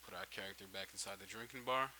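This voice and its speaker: A man giving a casual, off-the-cuff software tutorial, repeating and restarting his phrases as he goes. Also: fairly low pitch, quick pace. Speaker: tutorial host. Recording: hissy home microphone recording. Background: steady hiss.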